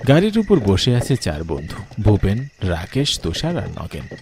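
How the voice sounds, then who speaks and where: A man's voice: on the low side, wildly swooping pitch, a little slow, background noise. A man reads a scripted ghost story as its narrator.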